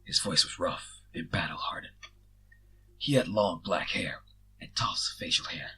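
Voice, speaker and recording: A man's voice: low-pitched, animated, unhurried, pushed and bright, in a whisper. A man reading fan fiction aloud as an amateur scripted story narration.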